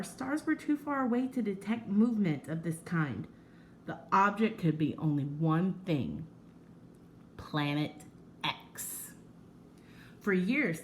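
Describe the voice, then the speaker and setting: A woman reads a nonfiction children's book aloud in the way of a schoolteacher. Her mid-pitched voice moves in lively rises and falls, with measured phrasing and drawn-out emphasis on key words. There is noise behind her in the recording. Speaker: teacher reading aloud to pupils.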